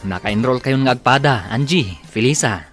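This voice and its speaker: A man's voice: medium pitch, expressive, normal speed, over music, natural voice. A man playing a jovial, sociable young friend in an audio drama.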